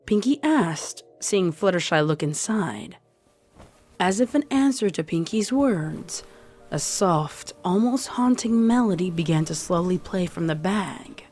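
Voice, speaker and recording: A woman's voice: on the low side, expressive, unhurried, darkish tone. A woman narrating a scripted story as a fan fiction reader. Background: music.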